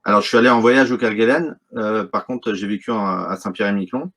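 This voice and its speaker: A man's voice: on the low side, steady tone, brisk, fairly bright tone. A middle-aged man from Brittany, a wildlife photographer, talking casually and hesitantly in an interview, full of fillers and restarts. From Brittany, France.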